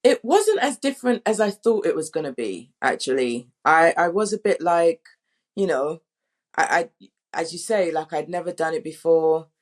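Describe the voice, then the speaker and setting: Chatty, halting woman in an interview. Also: low voice, expressive. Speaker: director, panel guest. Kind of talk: casual interview talk.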